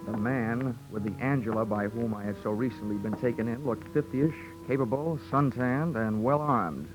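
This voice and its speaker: A man's voice: medium pitch, moderate pace, close to an everyday voice, poor audio. Man playing a wry old-time radio detective. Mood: wry, tense narration. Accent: American. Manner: dry, deadpan, wisecracking. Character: wry, hard-boiled male insurance investigator narrating his case. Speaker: lead actor and narrator. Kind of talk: 1940s radio detective drama narration. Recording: old 1940s radio recording, music behind.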